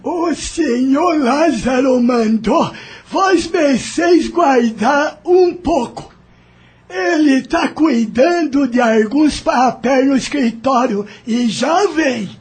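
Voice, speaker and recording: A woman's voice: sits high, takes it slowly, a little mellow, faint music behind. A woman playing a servile, rustic house slave with drawling speech in an audio drama. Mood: deferential. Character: slow-talking servile house slave in colonial Brazil.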